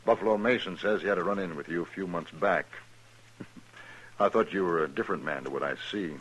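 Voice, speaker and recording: An actor playing a cocky, menacing gunslinger who taunts slowly in a 1950s radio drama. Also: male voice, low voice, fairly bright tone, poor audio. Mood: taunting. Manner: smooth, taunting, drawling.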